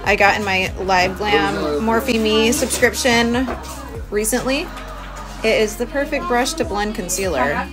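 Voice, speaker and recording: Lower-pitched woman chatting through makeup. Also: measured pace. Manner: chatty, informal, explaining while busy applying makeup. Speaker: beauty vlogger. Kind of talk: casual makeup tutorial chat. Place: small cabin room by a window. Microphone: phone at arm's length. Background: music.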